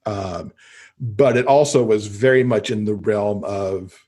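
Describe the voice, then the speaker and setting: Man, middle-aged, low pitch, husky edge, enthusiastic podcast guest, conversational. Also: unhurried, mellow. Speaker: podcast guest, avid reader. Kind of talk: casual interview conversation about books.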